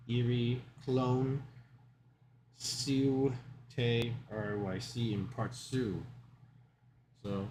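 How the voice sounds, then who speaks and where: A man's voice: medium pitch, slight background noise, poor audio. A man from the United States running game lobbies on a live community stream, chatting in an upbeat, casual way and reading out player names.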